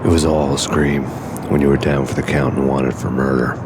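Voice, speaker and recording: An actor playing a world-weary cynical cop who narrates in a hardboiled deadpan noir monologue. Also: male voice, a bass voice, brisk, noisy background. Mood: grim. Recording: game audio over loud music.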